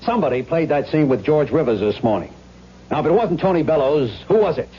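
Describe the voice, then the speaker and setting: An actor playing a blunt, impatient police lieutenant questioning witnesses in a 1970s radio drama. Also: male voice, a little high, animated, fast, husky. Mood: brisk, insistent. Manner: blunt, probing. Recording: dull old radio recording.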